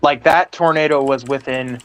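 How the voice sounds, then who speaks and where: A man's voice: mid-range voice, steady tone, moderate pace, no room sound. An American man chatting casually as an enthusiast stream co-host, earnest and enthusiastic, often repeating his phrases for emphasis. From Ohio, United States. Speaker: stream co-host, weather radio collector. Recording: dull, lo-fi voice chat audio.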